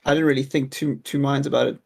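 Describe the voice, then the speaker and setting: A man's voice: mid-range voice, little pitch movement, fast talker, gravelly, a little mellow. A man chatting casually with his raid group over a voice call, musing and easygoing. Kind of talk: casual voice chat while gaming.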